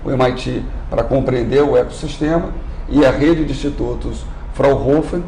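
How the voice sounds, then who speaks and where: A man, an institute executive on a live TV interview, speaking in a formal, measured way and often clearing his throat. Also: medium pitch, mellow. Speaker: industry training institute executive. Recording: roomy with faint noise.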